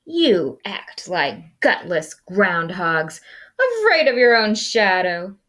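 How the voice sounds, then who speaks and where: A woman voicing a mean, blustering male prairie dog bully in a picture book, with a booming, snarling delivery. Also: very animated, slow pace, fairly bright tone, heavily acted. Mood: scornful.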